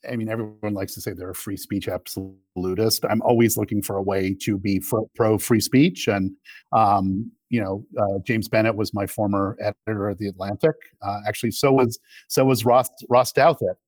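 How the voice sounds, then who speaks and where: A man speaking as an interview guest in a conversational political debate, making emphatic, halting arguments. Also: fairly low pitch, steady tone, a little mellow, dry recording. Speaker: interview guest, political commentator and media executive.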